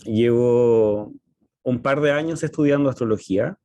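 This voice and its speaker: A man with a Chilean accent talking casually as a podcast co-host, in a halting, hesitant way with frequent pauses. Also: mid-range voice, warm tone. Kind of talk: casual conversational podcast talk.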